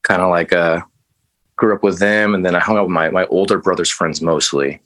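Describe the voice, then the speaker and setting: A low-voiced man from West Texas, talking as a guest in a casual interview. He tells his stories loosely and easily, full of "like" and "you know", with a bit of casual swearing. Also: steady tone, brisk, a bit bright. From West Texas, USA. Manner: laid-back, loose storytelling with fillers and mild swearing. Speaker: interview guest, band musician.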